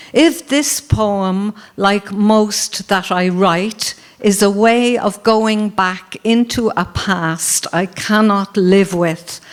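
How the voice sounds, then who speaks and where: An Irish woman poet at a festival panel, reading her poems aloud in a slow, measured way at a microphone. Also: medium pitch, clean tone. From Ireland. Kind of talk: panel talk and poetry reading. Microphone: handheld or stand mic.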